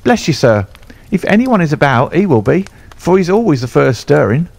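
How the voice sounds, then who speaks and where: A man's voice: fairly high pitch, sing-song, normal speed, creaky, darkish tone, pitched above the performer's own voice, heavily acted. A man voicing a young stable groom who speaks eagerly and deferentially. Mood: eager servile.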